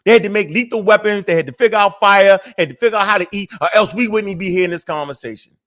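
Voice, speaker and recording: A man's voice: high-pitched, rapid-fire, a bit bright. Fiery, profane man ranting on a talk show. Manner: fiery, profane, rambling with many restarts. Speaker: show host. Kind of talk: heated casual talk show rant.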